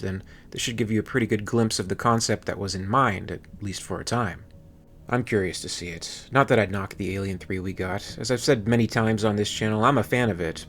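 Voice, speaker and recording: A man's voice: lowish voice, slight background noise. A man hosting a video channel, delivering scripted commentary.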